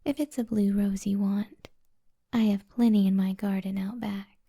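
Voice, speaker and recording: A woman playing a kind, flirty witch healer in an intimate ASMR roleplay, speaking in a soft, breathy, often whispered voice with gentle teasing. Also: medium pitch, steady tone, natural voice. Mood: amused, teasing. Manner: slow, soothing, gently teasing.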